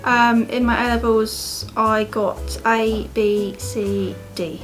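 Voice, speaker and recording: A young English woman speaks slowly and unhurriedly in a fairly high voice, easing into her answers with fillers. Light background music plays under her interview. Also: level tone. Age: 20s. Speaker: apprentice assistant quantity surveyor.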